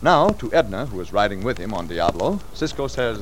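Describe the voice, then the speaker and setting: A man's voice: fairly low pitch, big pitch swings, fairly quick. A man narrating an old radio western as its announcer, in scripted storytelling style. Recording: noisy vintage radio recording.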